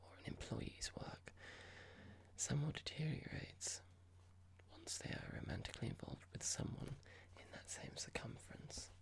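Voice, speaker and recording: Someone playing a creaky, whispering, condescending boss. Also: medium pitch, lightly acted. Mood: stern, condescending. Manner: measured, formal, passive-aggressive, halting. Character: cold condescending boss about to fire an employee. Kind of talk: ASMR roleplay, whispered and soft-spoken.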